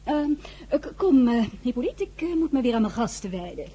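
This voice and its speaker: Coquettish society woman, radio drama, breathy mellow voice, lilting and evasive. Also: a little high, big pitch swings, fairly quick. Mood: flustered. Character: Josephine, charming, coquettish, evasive society hostess.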